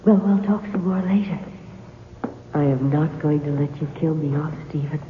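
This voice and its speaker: A woman's voice: low voice, normal speed, natural voice. An actress playing a pleading, coquettish imaginary woman from a novel in a 1970s radio drama. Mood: coaxing, petulant. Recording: echoey reverb, muffled noisy old radio.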